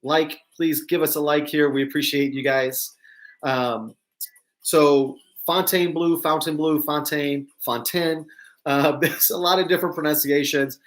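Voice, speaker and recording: A man's voice: a little high, steady tone, measured pace, a bit bright. Chatty American man with mixed accent. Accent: mixed American, touches of New York and Southern. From New York. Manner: chatty, rambling, frequent ums and asides to viewers. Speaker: host of a live news show. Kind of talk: casual livestream news chat.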